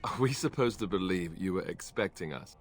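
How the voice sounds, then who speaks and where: An actor voicing a young male warrior with dry, deadpan humor. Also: deep voice, fairly quick. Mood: wry.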